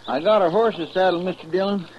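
An actor playing a folksy, earnest sidekick with a rural American drawl, plain-spoken and deferential in a 1950s radio Western. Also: male voice, high-pitched, fairly quick, dull audio, natural voice. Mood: folksy grumbling. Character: folksy, earnest male sidekick to a frontier lawman. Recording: hissy old 1950s radio recording.

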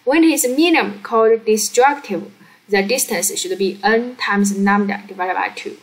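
A woman who is a non-native English speaker explains a worked problem step by step as a teacher, halting and often checking that the learner follows. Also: medium pitch, rough recording. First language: not English. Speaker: physics teacher.

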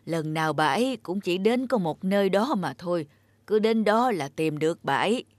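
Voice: mid-range voice, lively intonation, normal speed, dry recording, natural voice. An actor playing a mild, independent elderly grandfather in a cartoon drama, speaking hesitantly over music. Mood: weary.

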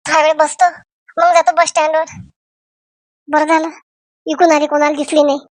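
Lady doing fussy cartoon village auntie, really high voice, varhadi marathi accent, exclaims a lot, dry sound. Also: little pitch movement, moderate pace, no room sound, close to an everyday voice. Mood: chatty. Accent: Varhadi Marathi from Vidarbha. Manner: exclaiming, repeats words when fussing. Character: Shantabai, excitable, fussing rural Marathi auntie. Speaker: cartoon channel's main character and presenter. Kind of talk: homemade comedy cartoon dialogue.

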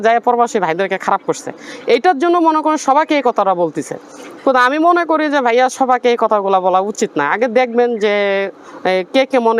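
A woman's voice: medium pitch, slight background noise. A woman with a Bangladeshi accent making a personal appeal in a pleading, insistent manner. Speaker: interviewee telling own life story.

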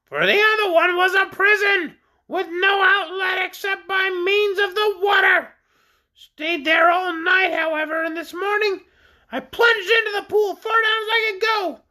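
Shrill storybook bird creature, squawky, very high, bright, proud, indignant, long-winded. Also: female voice, a little slow, exaggerated voice. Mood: haughty, recounting. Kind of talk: storybook character voice in read-aloud.

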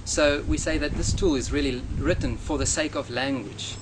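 A man in his thirties with a South African accent, a native Afrikaans speaker, answering interview questions in a measured, earnest way outdoors in front of a hedge. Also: a little high. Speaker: software developer. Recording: outdoor noise with passing traffic.

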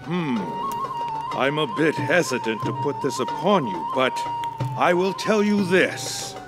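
Ominous undead king, slow and swooping. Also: high voice, huge pitch swings, full character voice. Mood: ominous, foreboding. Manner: solemn, drawn-out, portentous. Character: ominous undead skeleton king, old, grave and foreboding. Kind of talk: video game cutscene acting. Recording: music behind the voice.

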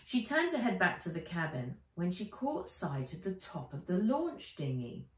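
A middle-aged woman, an author reading aloud from a children's storybook in an expressive narrating style. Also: fairly low pitch, normal speed. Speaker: author reading own book. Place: living room or sunroom, indoors. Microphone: camera or phone a few feet away. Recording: roomy, distant home recording.

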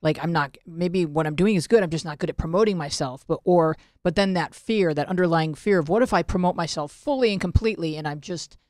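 A woman's voice: fairly low pitch, a little fast, few pauses, darkish tone, no echo. A woman hosting a conversational podcast interview, close on a studio microphone. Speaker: podcast host. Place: small podcast studio, indoors. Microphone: close studio microphone with headphones.

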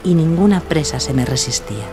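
An actress playing a self-assured, witty 1940s film star in a scripted audio drama, poised and seductive. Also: female voice, sits low, animated, a little fast, music in the background. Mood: reminiscing, proud. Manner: poised, confident, seductive storytelling.

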